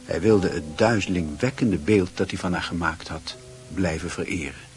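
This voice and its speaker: A man's voice: low voice, moderate pace, soft background music. A man giving scripted literary narration for a Dutch radio play.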